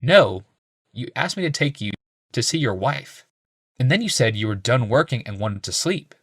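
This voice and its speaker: Guy doing young healer dude, raised pitch, matter-of-fact, a bit smug, fantasy audiobook. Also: very animated, normal speed, fairly bright tone, husky, no echo, studio quality, exaggerated voice. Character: young male healer, flat, dutiful, faintly smug.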